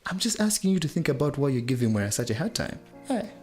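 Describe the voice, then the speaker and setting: A man playing a fair-minded schoolboy who gently challenges his brother in a radio drama. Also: mid-range voice, very animated, fast, loud music behind the voice, natural voice. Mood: reproving.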